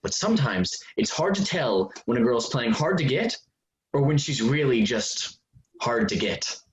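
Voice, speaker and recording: A man playing a pushy, entitled young man who wheedles and justifies himself with menacing calm in a staged play reading. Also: mid-range voice, a little slow, close to an everyday voice. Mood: wheedling, menacing. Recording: dull, roomy, noisy video-call audio with clicks.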